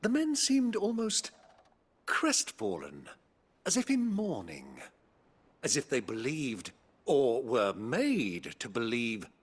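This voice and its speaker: An avuncular older nobleman from a fantasy game. His middle-pitched voice swings extremely widely as he speaks in grand, flowery phrases. He sounds theatrical and slightly pompous, keen on adventure. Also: huge pitch swings, takes it slowly, husky, heavily acted. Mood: pondering. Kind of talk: scripted fantasy game dialogue.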